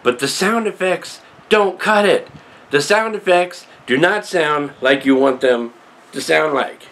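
An older man chatting casually to camera as a vlogger, rambling and wry while working on a prop. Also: unhurried. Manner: rambling, wry, lively pitch. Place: small cluttered room indoors, then patio workshop.

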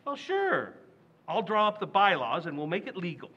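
Bright, lively, high-voiced actor as a blowhard father who mangles big words, dull lo-fi radio sound. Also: moderate pace, slightly rough, heavily acted. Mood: blustery. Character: blustery working-class father, stubborn and boastful. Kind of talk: old-time radio play recreation.

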